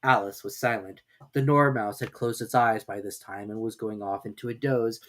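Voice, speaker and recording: A woman reading a storybook aloud in an animated storytelling style. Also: very deep voice, normal speed.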